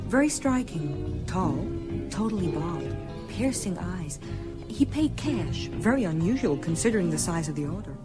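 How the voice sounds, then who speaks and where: Polite shopkeeper, lowish voice, matter-of-fact, radio play, music behind. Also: female voice, big pitch swings, normal speed. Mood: politely factual. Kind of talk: radio drama acting.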